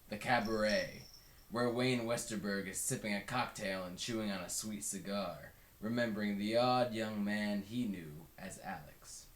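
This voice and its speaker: A man's voice: lowish voice, fairly bright tone. A man narrating a book aloud as a scripted read-along. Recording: roomy, echoing home recording.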